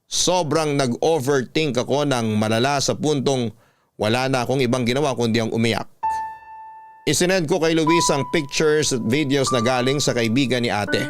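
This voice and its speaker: A man's voice: medium pitch, normal speed, music in the background. A man narrating a scripted first-person love story as a radio drama host.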